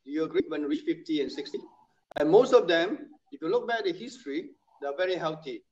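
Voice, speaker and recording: A man's voice: a little high, lively intonation, fast talker, a little mellow. A man in his sixties, talking casually and emphatically, often repeating his points. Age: 60s. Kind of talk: casual chat. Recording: muffled lo-fi audio.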